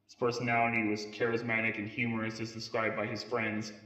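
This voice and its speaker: A man reading a scripted police case briefing in a halting way, occasionally stumbling over words. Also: on the low side, monotone, bright, forceful tone. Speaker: narrator presenting a police case file. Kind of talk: scripted narration, mock police briefing tape in an analog horror story. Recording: muffled lo-fi tape sound, roomy echo.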